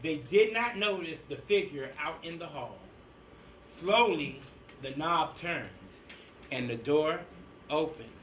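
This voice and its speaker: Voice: medium pitch, expressive, slow pace, a bit bright. A storybook reader doing a theatrical, emphatic read-aloud of a children's picture book. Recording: echoey room, distant mic, faint noise.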